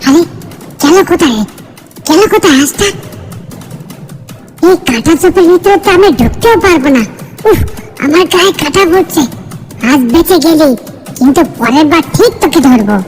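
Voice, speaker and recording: A woman's voice: very high voice, lively intonation, moderate pace, pauses often, darkish tone. A woman voicing a greedy, sneaky cartoon hyena with a scheming, grumbling manner. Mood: frustrated grumbling. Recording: long hall reverb, faint music.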